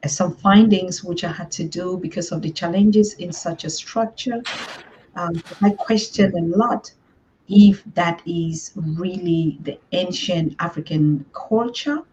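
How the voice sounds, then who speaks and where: A woman's voice: on the low side, mellow, highs cut off, slight background noise. A woman from southern Cameroon, a guest on an online interview, who speaks thoughtfully with many ums and uhs.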